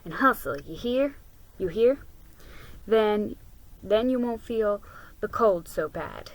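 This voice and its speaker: A woman voicing a gentle, hardworking mother from an old-time storybook, with homely, folksy phrasing. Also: mid-range voice, animated, takes it slowly, poor audio. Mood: gentle, instructing.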